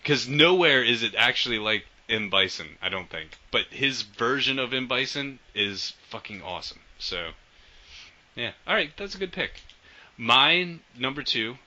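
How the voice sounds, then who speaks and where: A man hosting a casual podcast, enthusiastic and swearing often, trailing off quietly at the ends of sentences. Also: lowish voice. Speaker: podcast host. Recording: dull lo-fi audio.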